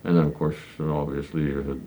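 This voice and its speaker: A man in his nineties from Pennsylvania, recalling his church childhood in slow, halting answers full of hesitant fillers. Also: extremely low pitch, level tone, mellow, slight background noise. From Pennsylvania, United States. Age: 90s. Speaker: elder congregant interviewee. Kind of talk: reminiscing interview answers.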